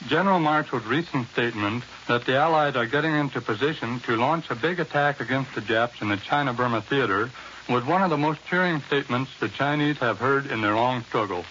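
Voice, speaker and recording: An American man giving a formal, measured, scripted war correspondent's report on 1940s radio. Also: mid-range voice, bright, forceful tone, muffled recording. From United States. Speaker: war correspondent, interview guest. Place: New York radio studio. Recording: hissy, band-limited 1940s radio recording.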